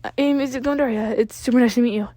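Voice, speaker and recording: A woman's voice: on the high side, fast, dark tone, no room sound, close to an everyday voice. An awkward, polite teenage boy played by a woman narrator talks in a raised, frazzled voice. He trips nervously over his words in storybook dialogue, with a dry, close sound. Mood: shy, eager. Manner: stammering, nervous. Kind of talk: storybook character dialogue in fan fiction reading.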